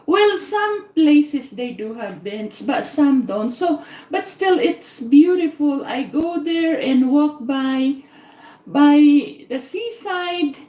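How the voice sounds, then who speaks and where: Slow-talking Filipino woman, echoey room. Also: high voice, animated, mellow. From Philippines. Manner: rambling, repetitive, laughs often. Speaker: cooking vlogger. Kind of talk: live-stream casual chat.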